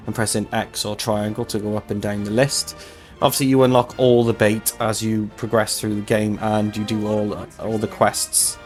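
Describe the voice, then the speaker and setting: A man hosting a casual game walkthrough, his voice a little hoarse and tired, explaining things in a chatty, rambling way. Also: fairly low pitch. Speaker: host of a gaming channel.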